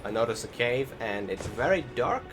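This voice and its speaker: A man's voice: mid-range voice, sing-song, moderate pace. A man giving casual, rambling voice-over commentary on a video game, often hesitating and searching for words. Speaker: gaming channel host. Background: music behind the voice.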